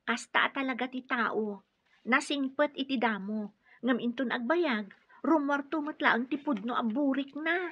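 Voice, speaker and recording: A woman's voice: sits high, unhurried, breathy edge. A woman with an Ilocano accent playing a wary, scolding young friend in a radio drama. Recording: dull lo-fi radio drama recording.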